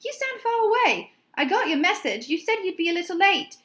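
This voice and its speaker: A woman voicing a hurried, concerned male shop worker on a phone call in a children's storybook. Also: very high voice, sing-song, fairly bright tone, voice pushed up, full character voice. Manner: questioning, hurried. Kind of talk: children's storybook read-aloud.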